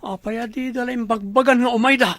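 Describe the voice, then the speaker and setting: An actor with an Ilocano accent playing a cheeky, grumbling husband in a comedy drama. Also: male voice, very high-pitched, fairly even intonation, quick pace, fairly bright tone, dry recording, studio quality. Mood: alarmed. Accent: Ilocano, northern Philippines.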